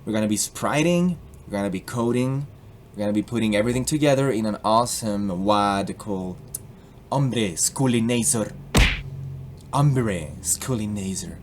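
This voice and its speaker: A young man with a Colombian accent casually explaining a software tutorial, joking and slipping into put-on accents. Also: on the low side, lively intonation, normal speed, slight background noise. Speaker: tutorial host.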